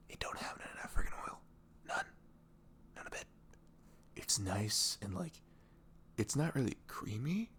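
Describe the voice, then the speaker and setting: A man with a deep, husky voice plays a caring, affectionate boyfriend in an intimate ASMR roleplay. His delivery swings freely in pitch, rambling and playful. Breathy asides slip toward a whisper, keeping it casual and close. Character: sweet, caring boyfriend chatting the morning after. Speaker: audio roleplay performer.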